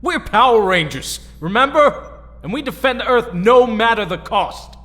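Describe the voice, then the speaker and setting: An actor playing a determined, hot-headed young superhero leader in an audio drama. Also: male voice, very high voice, lively intonation, moderate pace, pushed and bright, full character voice. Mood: defiant. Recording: long reverb.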